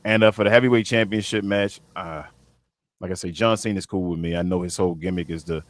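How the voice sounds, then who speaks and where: A man's voice: low voice, quick pace, dry recording. A man co-hosting a casual panel chat, laid-back and rambling as he gives his opinions. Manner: laid-back, rambling, many fillers and restarts. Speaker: podcast co-host.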